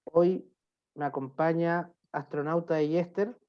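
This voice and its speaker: A man with a Chilean accent giving an online talk on beer as a sommelier, relaxed and friendly with frequent hesitations. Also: on the high side, unhurried. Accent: Chilean Spanish. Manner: relaxed, friendly, lots of filler "eh". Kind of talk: online presentation, chatty lecture. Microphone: computer mic on a video call. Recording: dull lo-fi video call audio.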